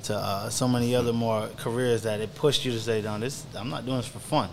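A man's voice: mid-range voice, steady tone, a little fast, creaky, slight background noise. Encouraging male podcast host. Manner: encouraging, affirming with frequent "yeah". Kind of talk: casual podcast conversation. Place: indoor studio.

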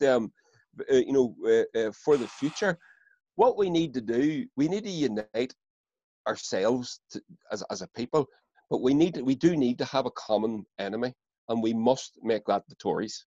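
A man's voice: medium pitch, lively intonation, normal speed, darkish tone, no room sound. Sweary Northern Irish man, dry dull sound. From Northern Ireland. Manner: blunt, sweary, repeats words when fired up. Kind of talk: casual chat.

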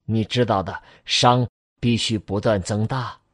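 Casting: male actor as tender, patient radio-drama dad, husky mid-pitched voice, dry close sound. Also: fairly even intonation, a little slow, dry recording. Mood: gently explaining. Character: patient, tender father explaining things to children. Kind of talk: Chinese science-fiction radio drama acting.

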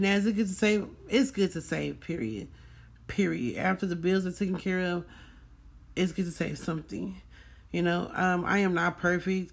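A woman's voice: on the low side, gravelly. A woman hosting a book club in a casual chat, informal and repeating phrases for emphasis.

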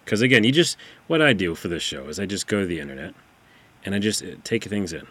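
Low-voiced man hosting a casual podcast, chatty and excitable, talking from a distance to the mic. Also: fast talker, slightly rough. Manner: chatty, excitable, reads book blurbs aloud. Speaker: podcast host. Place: studio room, sitting back from the mic. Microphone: far from the microphone.

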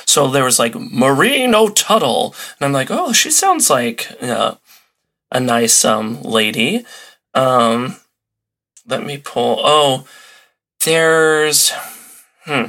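Male podcast guest, mid-range voice, casual explainer with a halting, thinking-aloud delivery. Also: mid-range voice, very animated, pushed and bright. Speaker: podcast guest, old-time radio enthusiast.

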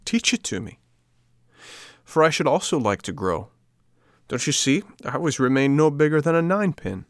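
A man's voice: on the high side, animated, normal speed, no echo, studio quality, pitched above the performer's own voice. A man voicing an eager wooden puppet boy who pleads in breathless, tearful bursts. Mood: pleading.

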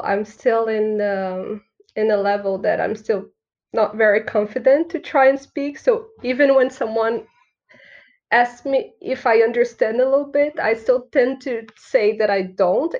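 A woman's voice: a little high, measured pace, highs cut off. A young woman from Brazil, a Portuguese speaker, chatting casually as a student guest on a podcast. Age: young adult. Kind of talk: casual chat.

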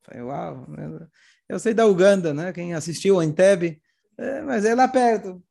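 Higher-pitched, gravelly Brazilian man, hoarse with a cold. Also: expressive, a little slow, mellow. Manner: acts out dialogue while retelling. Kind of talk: animated informal religious storytelling talk.

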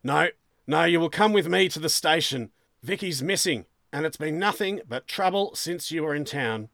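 A man voicing a stern, suspicious middle-aged police sergeant in a story, interrogating forcefully. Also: fairly high pitch, unhurried, dry recording, clean studio sound, pitched above the performer's own voice. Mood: angry, commanding.

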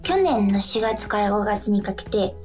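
A woman's voice: mid-range voice, expressive, a little slow, a little mellow, soft background music. A woman co-hosting a radio show, chatting casually and giving announcements in a polite manner.

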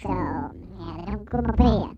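A man's voice: high voice, wildly swooping pitch, unhurried, creaky, highs cut off, a crowd in the background, pitched above the performer's own voice, exaggerated voice. A man voicing a goofy male alien with a Yorkshire accent, rambling and silly. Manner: rambling, silly, wildly swooping voice.

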